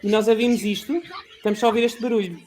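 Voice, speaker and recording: A man with a European Portuguese accent, chatting as a podcast guest, passionate and halting with many restarts. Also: high-pitched, steady tone, a little mellow. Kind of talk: casual chat.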